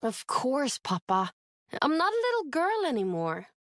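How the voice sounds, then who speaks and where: An actress playing a spirited, exasperated young adult daughter in a video game, protesting. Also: female voice, sits high, wildly swooping pitch, unhurried, fairly bright tone, no room sound, studio quality, full character voice.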